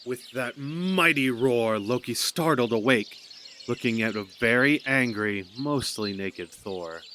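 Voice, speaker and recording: A man's voice: mid-range voice, slow, bright, forceful tone. A man narrating a scripted audio drama as a storyteller. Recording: noticeable background noise.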